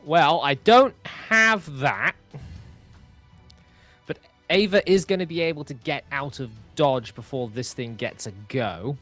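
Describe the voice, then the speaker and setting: A man's voice: a little high, lively intonation, measured pace, a bit bright, faint music behind. A man talking casually and thoughtfully as a player in a tabletop roleplaying game.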